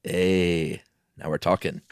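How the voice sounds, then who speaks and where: A man narrating a story dramatically as co-host, with playful, expressive delivery and joking asides. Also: low voice, slow pace, smooth, no room sound, clean studio sound.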